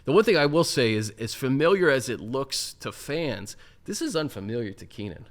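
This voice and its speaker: Man, mid-pitched, easygoing host who keeps the football talk moving. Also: big pitch swings, normal speed. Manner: conversational, steers topics along. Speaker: show host. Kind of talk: casual sports talk.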